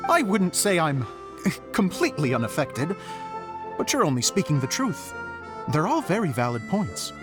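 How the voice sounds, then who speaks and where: A man's voice: on the high side, huge pitch swings, moderate pace. A man voicing an earnest, good-natured young stage director in a video game, gracious and theatrical, with guitar music behind. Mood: composed, accepting. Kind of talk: animated video game voice acting.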